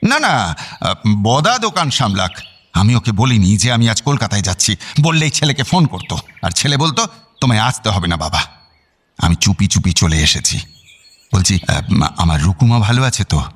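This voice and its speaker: An actor playing an affectionate, fussy older father in a radio drama, gentle and hesitant. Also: on the low side, sing-song, moderate pace, husky, exaggerated voice. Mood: affectionate, wistful.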